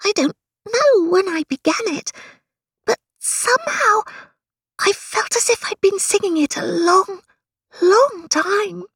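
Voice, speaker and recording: A woman's voice: very high-pitched, slow, fairly bright tone, no room sound, pitched above the performer's own voice, exaggerated voice. A woman voicing a polite, wide-eyed little girl musing in a storybook. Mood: wondering.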